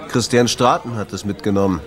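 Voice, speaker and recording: A man's voice: fairly low pitch, a little fast, a crowd in the background, close to an everyday voice. An actor playing a sardonic, insistent man who runs a jazz club and asks terse, probing questions in a German radio drama. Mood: casual probing. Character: insistent male jazz club owner, sardonic amateur investigator.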